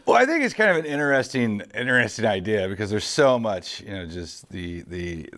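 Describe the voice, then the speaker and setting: A man's voice: lowish voice, big pitch swings, a little fast, fairly bright tone. A man in his early forties hosting a casual interview, rambling and correcting himself, laughing easily. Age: 40s. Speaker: host.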